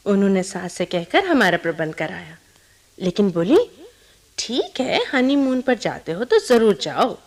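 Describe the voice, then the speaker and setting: A woman's voice: medium pitch, a little slow. A woman acting a giggly, gushing young Indian newlywed in a Hindi radio play, girlish and teasing. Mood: confiding. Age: young adult. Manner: girlish, excitable, teasing, laughing through words.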